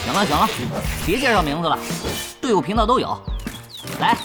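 A brash, excitable game party leader. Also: male voice, high voice, brisk. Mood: bossy. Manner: bossy, excitable, slangy. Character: brash, scheming young male online-game party leader. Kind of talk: animated Chinese audio drama acting. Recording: loud background music.

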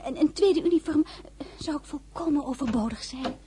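A woman's voice: high voice, moderate pace, background noise, natural voice. A woman playing an earnest young merchant's daughter in a radio drama, who also narrates her story. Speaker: narrator and lead character.

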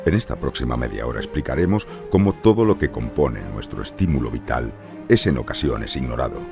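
A man voicing a dark, solemn storyteller with a very deep, gravelly voice in a scripted radio narration. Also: very animated, a little fast, over loud music, exaggerated voice. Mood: solemn, mysterious. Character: dark, solemn male voice from the shadows, telling a parable. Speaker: show's intro narrator.

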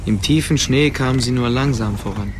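A man's voice: medium pitch, moderate pace, a little hiss or hum. A man narrating a story as scripted narration for a German radio play.

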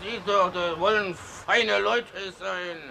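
An actor playing a drunken, abusive lout in a radio play, taunting fine folk in a slurred drawl. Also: male voice, high voice, fairly even intonation, slow, vocal fry, crowd noise, heavily acted. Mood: jeering, drunk. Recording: very noisy outdoor scene with vehicle sounds.

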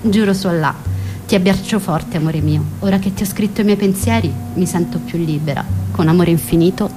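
A woman's voice: fairly low pitch, a little fast, over music, lightly acted. A woman playing a wistful, passionate young woman in a scripted radio drama, speaking intimately and reflectively. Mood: nostalgic. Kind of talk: scripted radio drama monologue and letter reading.

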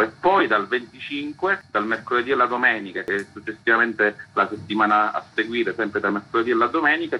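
A man's voice: fairly low pitch, bright, forceful tone, breathy edge. A man, a festival curator explaining things in an interview, on a dull lo-fi recording.

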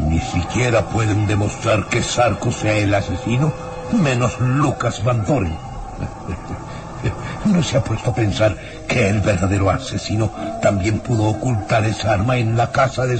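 A man playing a scornful, ominous baron in a radio drama, with a theatrical, drawn-out and foreboding delivery. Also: lowish voice, noisy background, natural voice. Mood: scornful, skeptical. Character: ominous, scornful baron obsessed with human wolves. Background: dramatic music.

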